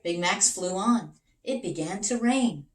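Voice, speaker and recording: A woman's voice: lowish voice, expressive, a little slow, recorded from a distance. A woman teacher reading a children's bedtime story expressively, with small asides about the pictures. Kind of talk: storybook read-aloud for young children. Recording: roomy, echoing sound.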